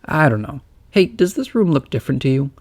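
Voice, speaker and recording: A man voicing a shy, anxious teenage boy who talks in halting starts. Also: medium pitch, big pitch swings, darkish tone. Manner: hesitant, halting.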